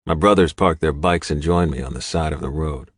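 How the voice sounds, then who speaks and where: A man's voice: very deep voice, brisk, husky, dry recording, studio quality. A man reading a scripted first-person audiobook novel as its narrator.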